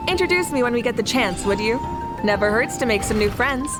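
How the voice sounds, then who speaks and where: A woman's voice: on the high side, animated, a little fast, over loud music, natural voice. An actress playing a confident, playful young woman who leads warriors in a video game, with an assured, rousing manner. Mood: playful, casual. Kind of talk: English game voice acting.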